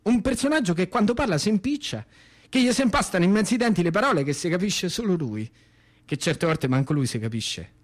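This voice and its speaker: A man's voice: high-pitched, expressive, a little fast. A man with a central Italian accent performing a dramatic storytelling monologue in a colloquial, rhythmic, theatrical way. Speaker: actor and storyteller.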